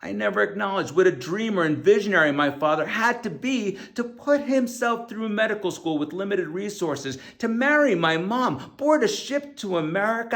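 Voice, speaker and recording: A middle-aged man reads a scripted personal memoir aloud. His voice sits a little high for a man and carries heavy creak and fry. The delivery is earnest and reflective, as an author narrating his own book.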